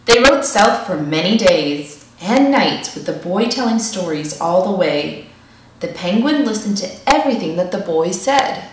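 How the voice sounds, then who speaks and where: Lady doing an expressive kids story read aloud, really animated pitch, super echoey and kinda distant from the mic. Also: unhurried, noisy background. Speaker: storyteller reading a picture book aloud. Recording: echoey room, distant microphone.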